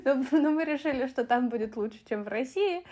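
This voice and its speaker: A woman's voice: sits high, lively intonation, fairly quick, warm tone. A young woman from Russia talking in a chatty, casual vlog style, with fillers and asides. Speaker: beauty vlogger.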